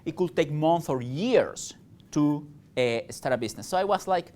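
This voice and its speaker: A man's voice: on the high side, lively intonation, moderate pace, darkish tone, a little hiss or hum. A Peruvian man in his forties, a native Spanish speaker, addresses college students in a friendly, informal lecture. His Peruvian Spanish accent colors every sentence. He often breaks off and circles back, with frequent ums and uhs along the way. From Peru. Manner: conversational, many fillers and restarts. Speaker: guest speaker, chamber of commerce director.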